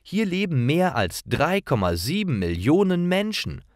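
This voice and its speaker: Man putting on high, cheerful young woman. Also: sing-song, unhurried, no echo, studio quality. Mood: cheerful, eager. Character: Tine, cheerful young woman friend explaining things.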